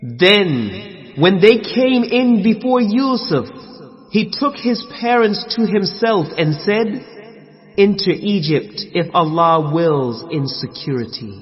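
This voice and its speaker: A man with an American accent reading scripture translation in a solemn, measured, declamatory way with emphatic phrases. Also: high-pitched, animated. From USA. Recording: long reverb, slightly muffled sound.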